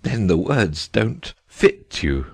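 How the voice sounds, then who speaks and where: A man voicing a fussy, self-satisfied king in a storybook reading, speaking slowly with a gravelly voice. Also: on the low side, sing-song, soft background music, heavily acted. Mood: muttering.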